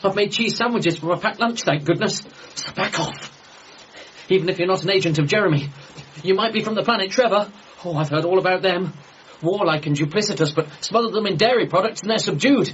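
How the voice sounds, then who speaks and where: An actor playing an anxious, eccentric, earnest son in a radio comedy drama, with a British accent and hesitant starts. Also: male voice, sits high, fairly quick, a bit bright, a little hiss or hum. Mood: flustered, wary. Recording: slightly muffled, music behind.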